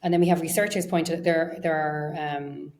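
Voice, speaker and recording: A woman's voice: sits low, steady tone, normal speed, a little mellow. A woman speaking as an executive guest in an interview, explaining conversationally and thinking aloud with hedged phrasing. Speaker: interview guest, research and data science executive.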